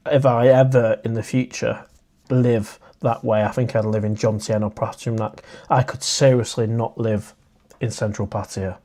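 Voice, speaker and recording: A man's voice: mid-range voice, dark tone. A man, hosting a casual live stream and chatting in a friendly way as he reads out viewer comments. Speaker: livestream host.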